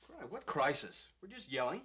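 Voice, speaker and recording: Excitable young lawyer husband, dry sarcasm, voice swoops around, sharp and forceful, romcom style. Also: male voice, on the high side, normal speed, husky, full character voice. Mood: dry, exasperated. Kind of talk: theatrical romantic comedy acting.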